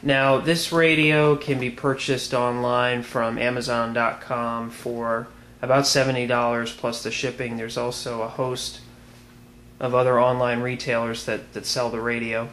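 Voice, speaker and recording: American man, even tone, noisy recording. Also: mid-range voice, unhurried. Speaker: video reviewer. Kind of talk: informal product demonstration. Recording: clearly noisy amateur video sound.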